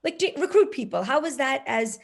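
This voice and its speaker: Host: supportive woman interviewer who asks probing questions, voice slightly high. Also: big pitch swings, brisk, vocal fry. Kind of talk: conversational interview.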